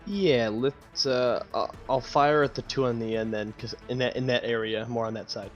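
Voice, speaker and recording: A man's voice: mid-range voice, moderate pace, highs cut off, soft background music. A man playing a tabletop roleplaying game and chatting casually.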